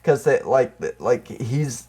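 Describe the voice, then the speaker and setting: Regular guy voice, medium pitch, chatting on a movie podcast, kinda halting and offhand. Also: expressive, dark tone, slightly rough. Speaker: podcast co-host discussing movies. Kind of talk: casual film chat.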